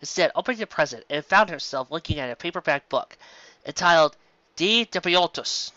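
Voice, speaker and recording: A narrator reading a scripted story aloud in an animated storytelling style, on a slightly dull home recording. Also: medium pitch, fairly quick, no room sound.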